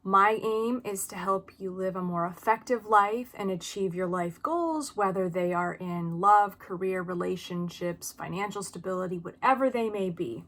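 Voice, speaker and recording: A woman's voice: medium pitch, moderate pace, few pauses, fairly bright tone. Earnest woman in her forties. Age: early 40s. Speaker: video channel host. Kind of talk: informal advice talk to viewers, personal experience.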